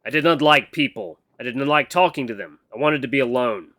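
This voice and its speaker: A man's voice: mid-range voice, a little fast, pushed and bright, natural voice. A man voicing a stern, socially awkward beast-man mentor who speaks in a stiff, formal, blunt way. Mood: defensive.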